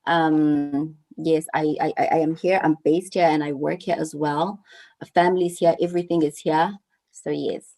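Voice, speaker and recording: A woman from South Africa joins an online panel, talking in a low, mellow voice. She is earnest and thoughtful, stumbling over repeated words as she works out her ideas. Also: fairly even intonation, moderate pace. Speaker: panel guest. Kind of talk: casual online panel chat.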